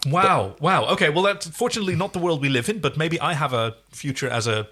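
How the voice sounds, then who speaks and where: A man's voice: a little high, lively intonation, fast talker, pushed and bright. A man co-hosting a podcast, casually recapping a TV episode in a jokey, digressive way. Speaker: podcast co-host.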